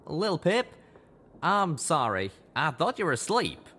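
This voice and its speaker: A hot-tempered young pegasus bellows and rants in a country drawl. His voice sits high above the reader's own and swings widely as he shouts. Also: sing-song, a little slow, full character voice. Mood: angry shouting. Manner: yelling, ranting.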